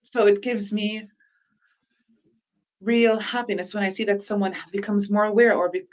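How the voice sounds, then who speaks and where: Earnest woman speaking in measured phrases. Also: mid-range voice, flat delivery, darkish tone. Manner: measured, earnest, with frequent restarts. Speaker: interviewee on healing practice. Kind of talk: reflective conversational talk.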